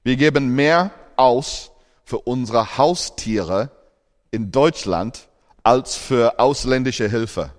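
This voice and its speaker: A man's voice: mid-range voice, slow, big-room sound. A man born in Australia preaching a sermon in German, earnest, with grammar slips.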